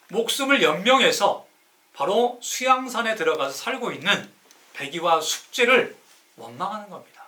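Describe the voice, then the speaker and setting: 강의하듯 힘주어 말하는, 억양 변화가 큰 남자. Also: male voice, high voice, pushed and bright. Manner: didactic, asks rhetorical questions and answers them himself; emphatic, stretches key words. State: sore throat from campaigning. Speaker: live-stream host, city councilor. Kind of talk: live-streamed lecture explaining classical poetry.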